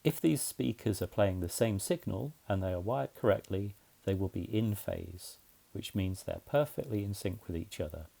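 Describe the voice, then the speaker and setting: A man's voice: on the low side, expressive, normal speed, gravelly, mellow, low-quality recording. A man narrating a scripted explainer in a methodical, plain-spoken way, as a tutorial presenter.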